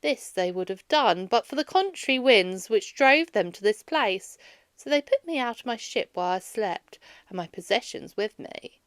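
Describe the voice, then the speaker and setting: A woman reads as a wandering Greek hero in a storybook, wary and pleading. The voice is fairly high and swings widely in pitch, with emphatic phrasing in a dry, close space. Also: expressive, moderate pace, smooth, no echo, natural voice. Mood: guarded.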